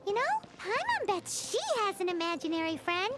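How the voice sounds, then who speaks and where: Squeaky bubbly little fairy gal, nosy and whiny, bounces up and down, game music under it. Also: female voice, sing-song, brisk. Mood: eager. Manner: speaks of herself in third person, bubbly and whiny. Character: tiny floating fairy companion girl, excitable and nosy. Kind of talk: game voice acting.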